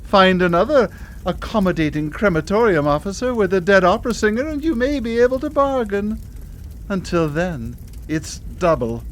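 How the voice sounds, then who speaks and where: A woman's voice: mid-range voice, lively intonation, moderate pace, slight background noise, natural voice. A woman acting a sly, bitter crematorium officer in a live radio horror play, savoring her words theatrically. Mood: taunting, bitter. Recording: live studio radio play, music behind.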